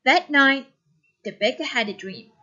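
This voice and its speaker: A woman teacher reading a story aloud and giving instruction in a deliberate, instructive manner. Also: high-pitched, animated, pushed and bright, dull audio.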